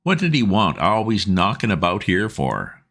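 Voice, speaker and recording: A man's voice: lowish voice, wildly swooping pitch, normal speed, gravelly, fairly bright tone, pitched above the performer's own voice, exaggerated voice. A man voicing a stout, red-faced, working-class boatman's wife who rambles anxiously in homely dialect.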